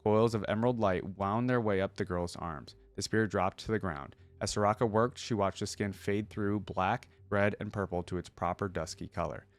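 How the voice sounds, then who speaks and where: Male reaction host, lower register, casual reader-commentator who thinks out loud, dry recording. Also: normal speed, few pauses, no echo. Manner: breaks off to muse aloud and explain. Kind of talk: reading a story aloud with casual commentary.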